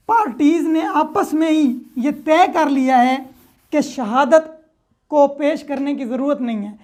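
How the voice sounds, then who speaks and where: A woman with a Pakistani accent teaches in a high-pitched voice. She explains step by step in an informal lecture style, restating points for clarity and dropping in short check-in phrases. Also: darkish tone. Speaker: law lecturer.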